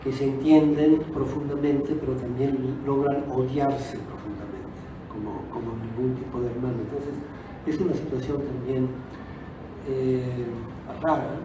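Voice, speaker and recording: A man's voice: medium pitch, fairly even intonation, unhurried. A man whose first language is Italian, giving an unscripted, reflective talk as a guest author, hesitating and rewording as he goes. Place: auditorium at a book fair. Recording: distant echoey auditorium, noisy background.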